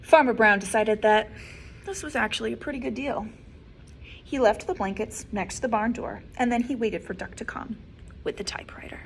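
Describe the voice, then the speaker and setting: A woman librarian reading a picture book aloud to children, expressive and animated, with soft whispered asides. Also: on the high side, normal speed, a little hiss or hum. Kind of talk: children's story time read-aloud.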